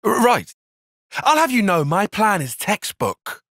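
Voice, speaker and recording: An earnest young strategist for a video game cutscene. His phrasing is formal and exact, with animated pitch swings and a slightly husky edge. The recording is very dry, with no room around it. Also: measured pace, no echo, heavily acted. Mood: alarmed, indignant. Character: analytical, reserved young male military strategist, earnest and proper.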